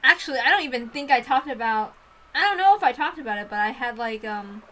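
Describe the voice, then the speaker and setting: A woman's voice: fairly high pitch, animated, fast talker, a bit bright. A woman streamer chatting casually, rambling in an apologetic way and often interrupting herself. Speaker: streamer who reads visual novels aloud. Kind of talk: casual livestream chat.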